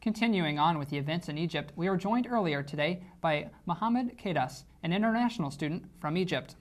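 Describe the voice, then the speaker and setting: A man's voice: a little high, animated, brisk. A young man anchoring a student news show, reading the copy and asking interview questions. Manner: read-out anchor lines, loose in interview.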